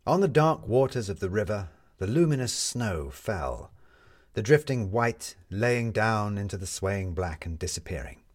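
A man narrating a scripted story as an expressive storyteller. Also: on the low side, unhurried.

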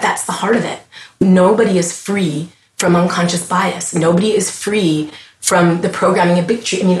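A woman with a Canadian accent talks in a lowish voice with earnest conviction. She often stops and restarts her sentences as she works out what she means. The room around her sounds echoey. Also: a little hiss or hum. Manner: earnest, impassioned, restarts often mid-thought. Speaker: podcast guest. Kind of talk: casual conversation.

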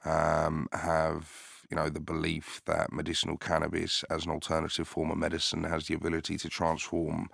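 A man who grew up in the UK, a company founder answering questions in a business podcast interview, measured and hesitant with many filler sounds. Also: very deep voice, completely flat delivery, gravelly, a bit bright, no room sound. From United Kingdom.

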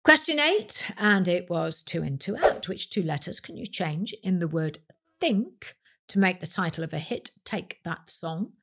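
A woman's voice: on the low side, animated, a little slow, a bit bright, slightly rough. A woman with a British accent hosting a quiz podcast, reading scripted questions and answers to listeners.

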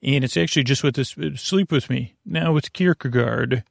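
A man with a mid-pitched, creaky voice hosts a podcast meant for falling asleep. He meanders and stalls, breaking off his own sentences and picking them up again. The sound is very dry, with no room around the voice. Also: no room sound. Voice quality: gravelly, creaky. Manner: meandering, halting, absent-minded, self-interrupting. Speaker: podcast host. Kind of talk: rambling bedtime podcast monologue.

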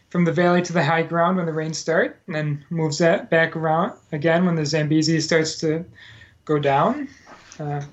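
Man recounting at length, dull audio. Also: a little high. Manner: rambling, frequent fillers and self-corrections. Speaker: guest, former Peace Corps volunteer. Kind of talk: casual podcast conversation. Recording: dull lo-fi sound.

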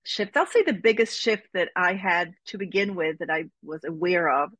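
An earnest middle-aged woman from Israel speaks as an interview guest. Her voice is husky, and she lingers on key phrases. The recording is dry and close. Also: fairly low pitch, fairly bright tone, no room sound, dull audio. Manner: earnest, reflective, slow with emphatic phrasing. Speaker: guest, spiritual teacher. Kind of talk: interview conversation.

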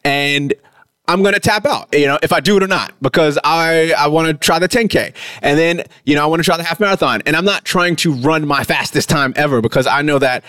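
A man's voice: on the high side, a little fast, bright, forceful tone, dry recording, clean studio sound. A man in his late thirties hosting a podcast, talking casually in a rambling, self-correcting way. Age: late 30s. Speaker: podcast host. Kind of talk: casual conversational talk.

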